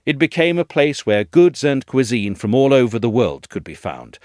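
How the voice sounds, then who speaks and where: A man reading a scripted essay as narrator, in a measured, articulate storytelling delivery, recorded close up. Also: mid-range voice, very animated, darkish tone, no echo.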